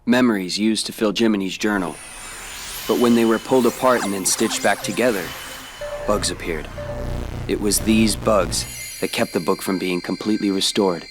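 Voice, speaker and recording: A low-voiced, serious teenage boy from a video game played by a man, calm and cryptic. He explains things in measured phrases, like a being made of data. Music plays behind him. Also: lightly acted. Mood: calm, explaining. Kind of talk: video game cutscene acting.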